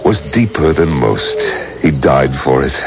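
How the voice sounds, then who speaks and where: An actor playing a protective old religious teacher in a 1970s radio drama, grave and emphatic. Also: male voice, very deep voice, big pitch swings, unhurried, creaky, music in the background, exaggerated voice. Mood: mournful. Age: older. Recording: muffled old radio broadcast.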